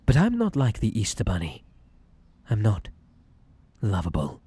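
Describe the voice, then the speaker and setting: A man with a British accent playing a possessive, obsessive, sweetly menacing bunny man in an ASMR roleplay, coaxing and theatrical, with a breathy voice that slips into whispers. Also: on the low side, wildly swooping pitch, dark tone, full character voice. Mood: wounded, desperate. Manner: theatrical, coaxing, drawn-out polite endearments.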